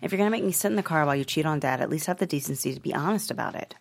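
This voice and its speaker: Woman acting an outspoken idealistic daughter, college age, lowish creaky voice, table-read drama. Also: animated, racing, full character voice. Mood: accusing.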